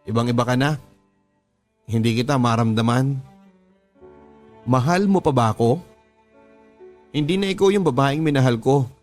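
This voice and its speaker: A man's voice: medium pitch, moderate pace, long pauses, darkish tone, faint music behind, lightly acted. Man playing an earnest young Filipino boyfriend. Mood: hurt, plaintive. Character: devoted, wounded young boyfriend, earnest and doubting. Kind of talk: radio drama dialogue.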